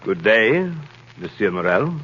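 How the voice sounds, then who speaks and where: An actor playing a scheming ship's officer with a probing, insinuating manner, in 1930s radio drama. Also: male voice, on the low side, sing-song, a little slow, loud music behind the voice. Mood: sly probing. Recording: muffled 1930s radio broadcast.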